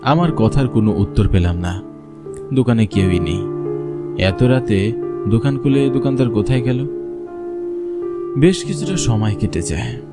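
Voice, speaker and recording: A man narrating a scripted horror story in the first person, as a storyteller. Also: on the low side.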